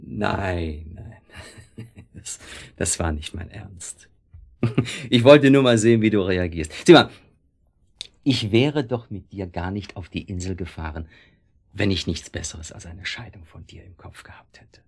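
A man acting a middle-aged, irritable and evasive husband in a German radio play, speaking in a sardonic, halting way. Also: low voice, slightly rough, exaggerated voice. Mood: evasive, placating.

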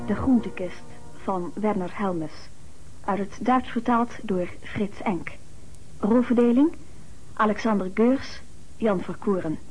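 A woman reading radio play credits as an announcer in a measured, formal manner. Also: medium pitch, rough recording.

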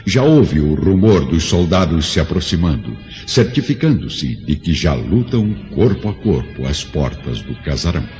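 An actor serving as the grave, dramatic narrator of a scripted radio drama. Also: male voice, extremely low pitch, very animated, moderate pace, few pauses, darkish tone. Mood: grave, tense. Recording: dull radio-drama recording, music behind.

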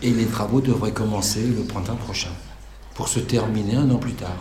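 A man's voice: on the low side, steady tone, normal speed, a little mellow, lightly acted. A man playing a boastful, hesitant engineer answering questions at a mock press conference in a radio fiction. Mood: self-assured. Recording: roomy echo with faint noise.

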